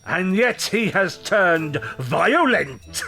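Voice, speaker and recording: A stern, self-important workhouse beadle in a period audio drama. He booms slowly and emphatically in a high, creaky voice that swoops up and down. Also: very animated, bright, forceful tone, soft background music, full character voice. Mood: pompous, grave. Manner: pompous, booming, slow and emphatic.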